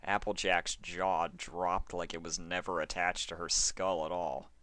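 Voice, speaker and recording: A man reading scripted story narration as a narrator, in a dramatic, measured storytelling manner. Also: deep voice, flat delivery, sharp tone, dry recording.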